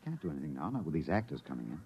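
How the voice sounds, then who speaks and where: An actor playing an earnest, tense fugitive husband in a 1950s radio drama. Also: male voice, very deep voice, sing-song, very fast, a little mellow, slightly rough, exaggerated voice. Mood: guarded, weary. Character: tense, hunted husband, a fugitive time traveler. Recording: dull vintage radio recording with music.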